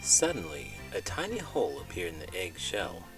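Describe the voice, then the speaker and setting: A man hosting a children's read-aloud, speaking in an expressive, playful storytelling style. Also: low voice, normal speed, over music. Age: adult parent.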